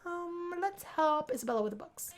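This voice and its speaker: Visual novel lead: earnest female student voiced by a woman, courteous, slightly bashful, higher register. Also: lively intonation, moderate pace, soft background music. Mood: shy, friendly. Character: earnest, helpful, bookish young female college student. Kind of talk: visual novel first-person character.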